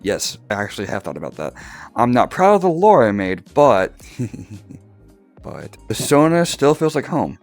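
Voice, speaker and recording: A man's voice: medium pitch, wildly swooping pitch, measured pace, soft background music. A young man, just woken up and groggy, casually telling a personal story as a vlogger, laughing often and restarting his words. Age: young adult.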